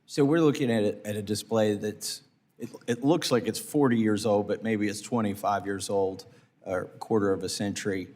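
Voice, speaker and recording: A congressman questioning witnesses at a committee hearing, halting with restarts and fillers. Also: on the low side, steady tone, a little mellow, long reverb tail. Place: hearing room.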